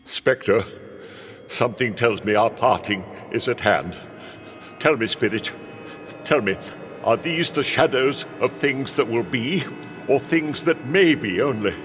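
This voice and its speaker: Pleading old miser, swooping dramatic voice. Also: male voice, medium pitch, animated, unhurried, fairly bright tone, slight background noise. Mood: fearful pleading. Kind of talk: theatrical radio-play acting. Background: music.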